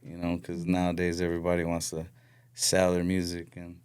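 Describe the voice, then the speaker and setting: A young man from Florida talks in a deep, resonant voice. He chats as a podcast guest in a soft, hesitant way, with plenty of fillers. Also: completely flat delivery, fairly quick, clear, resonant tone. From Florida, raised in Arizona. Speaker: guest musician. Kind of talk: casual podcast chat.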